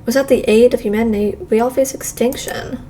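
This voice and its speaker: A woman's voice: a little high, steady tone, brisk, mellow, slight background noise, lightly acted. A woman voicing an earnest reptilian resistance leader, formally addressing a meeting in a game read-aloud. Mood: grave.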